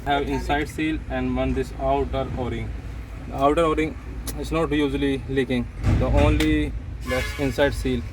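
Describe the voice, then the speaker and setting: A man's voice: medium pitch, fairly even intonation, normal speed, noisy background. A man with an Indian accent and non-native English gives a casual hands-on repair tutorial in simple, repetitive phrasing. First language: Punjabi or Hindi. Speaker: repair channel host, machinery mechanic. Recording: music playing behind the voice.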